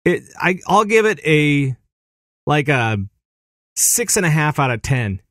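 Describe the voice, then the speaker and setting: A man hosting a casual gaming podcast, animated and excitable, laughing often as he explains things. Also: fairly high pitch, a little slow, no room sound, clean studio sound. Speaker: podcast host.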